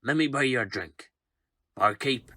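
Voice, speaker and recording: An actor playing an eager tavern patron drumming up a crowd for tales in an audio play. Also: male voice, medium pitch, measured pace, heavy background noise, natural voice.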